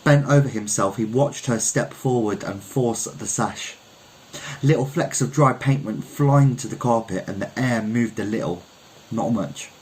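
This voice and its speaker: A man from Birmingham, England, reading a literary short story aloud in a measured, reflective manner. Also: medium pitch, a little mellow, poor audio. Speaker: story reader.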